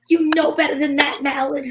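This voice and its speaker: A woman's voice: sits high, fairly even intonation, unhurried, noisy background. A woman playing a plucky, wisecracking female private eye in an old-time radio drama, telling her story dramatically. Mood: coy, indignant. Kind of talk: old-time radio detective acting, first-person narration.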